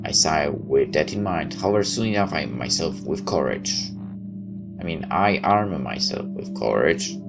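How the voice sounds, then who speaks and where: Male gamer, deep low voice, dramatic story read-aloud, wry asides, occasional stumbles, guitar game music behind. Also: gravelly. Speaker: gamer reading a visual novel aloud. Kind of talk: let's play read-aloud with joking asides.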